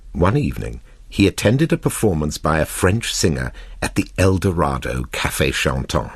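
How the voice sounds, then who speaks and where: Male narrator for literary fiction: low, slightly husky voice, measured and expressive, with deliberate pauses. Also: no echo. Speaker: narrator reading a short story.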